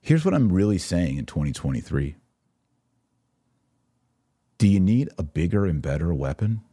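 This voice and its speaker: A man's voice: deep voice, very animated, moderate pace, dark tone, husky. A middle-aged man giving religious commentary as a podcast host, earnest and emphatic, stressing key words as he addresses viewers directly. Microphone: webcam setup.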